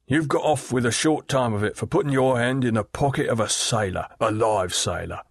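Man playing a surly older boatman, gruff, blunt, mid pitch, dry close recording. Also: brisk, dry recording.

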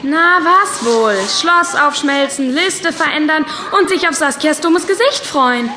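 A cheeky, bossy schoolgirl in a children's audio play. Her voice is high and rings with room echo, and she talks in a sassy, commanding way. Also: lively intonation, unhurried, a little hiss or hum. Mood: smug, teasing.

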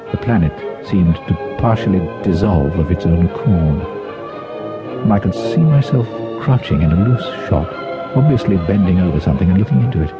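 A man narrating a first-person memoir as a scripted audiobook, with a husky voice and dramatic, deliberate storytelling phrasing. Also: low-pitched. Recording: dull lo-fi audio.

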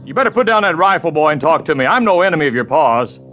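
A calm Old West lawman with an American accent. His voice sits a little high and moves expressively. He is friendly yet probing, with plain folksy talk, as in an audio Western. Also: highs cut off, faint music behind. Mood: firm, probing. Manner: folksy, measured questioning. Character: calm, steady Texas Ranger, friendly but probing. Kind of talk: Western audio drama acting.

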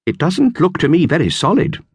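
A man's voice: on the high side, sing-song, fairly quick, breathy edge, no room sound, clean studio sound, pitched above the performer's own voice. Man voicing a genteel kindly lady. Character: kindly older lady painter Mrs Barrable. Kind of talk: audiobook character voice.

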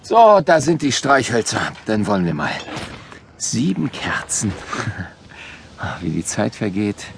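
A cheerful, cautious dad in a children's audio drama. His voice is gravelly and unhurried, with some background noise. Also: fairly low pitch, sing-song, exaggerated voice. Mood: musing, concentrating. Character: cheerful, cautious father of a young boy.

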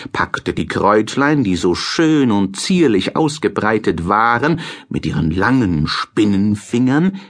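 A man reading a children's fairy tale as an audiobook narrator, in a measured, expressive storytelling manner. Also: fairly low pitch.

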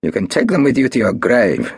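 A man voicing a desperate, scheming male businessman with a shrill, wheedling voice. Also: wildly swooping pitch, a little slow, gravelly, husky, dry recording, studio quality, heavily acted. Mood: shrill angry.

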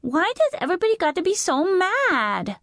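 A woman voicing a small, high, plaintive little girl. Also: wildly swooping pitch, normal speed, fairly bright tone, no echo, studio quality, heavily acted. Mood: upset, pleading. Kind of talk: audiobook character voice.

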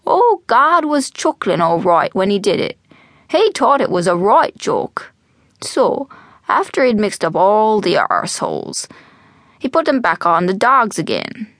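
A woman voicing a mischievous, wheezy old male storyteller in an audiobook, slow and conspiratorial, slipping into whispers. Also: mid-range voice, very animated, fairly bright tone, no echo, clean studio sound. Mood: amused, conspiratorial. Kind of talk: audiobook character voice.

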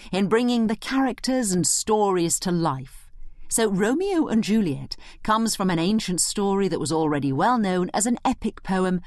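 A woman's voice: lowish voice, no echo, studio quality. A woman giving scripted educational commentary as a narrator, conversational and explanatory in manner. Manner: animated, explanatory. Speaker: narrator and study guide commentator.

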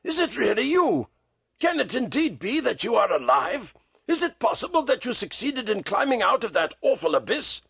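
A man voicing a devoted, excitable gentleman companion in a storybook, speaking emphatically and with exclamation. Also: high voice, moderate pace, vocal fry, hardly any pauses, breathy edge, no echo, heavily acted. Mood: amazed, overjoyed.

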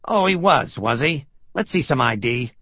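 A man's voice: normal speed, vocal fry, no room sound, highs cut off. A man voicing an overbearing, self-important police lieutenant in an audiobook, with a menacing, sarcastic bark. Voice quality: menacing, low-pitched. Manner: sarcastic, barking. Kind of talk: audiobook character voice.

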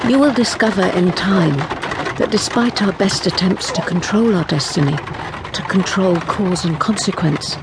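A woman's voice: medium pitch, a little slow, very noisy, lightly acted. An actress as an earnest period lady. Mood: reflective. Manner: formal, literary phrasing. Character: English lady of the manor, earnest, wistful and headstrong. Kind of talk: period radio drama acting.

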